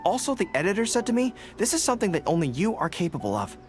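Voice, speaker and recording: Young army general for a game, earnest n a bit shy, really expressive, music behind. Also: male voice, high-pitched, fast. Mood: earnest, pleased. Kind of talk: video game voice acting.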